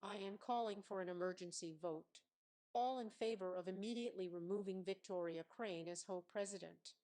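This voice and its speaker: An earnest male board member read by a woman narrator in a dramatic story. He speaks formally as he stands against a bully, in a medium-pitched voice. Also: moderate pace, mellow, lightly acted.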